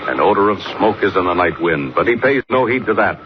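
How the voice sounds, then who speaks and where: A man's voice: deep voice, lively intonation, fairly quick. A man narrating a radio serial in a theatrical, suspenseful 1940s announcer style. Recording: muffled noisy 1940s radio recording.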